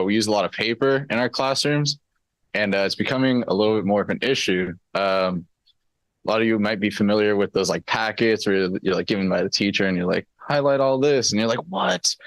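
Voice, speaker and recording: Teen dude presenting, super casual, lots of um and like, lowish voice, dry. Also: male voice, no room sound. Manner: casual, lots of fillers, slangy. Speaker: student presenter.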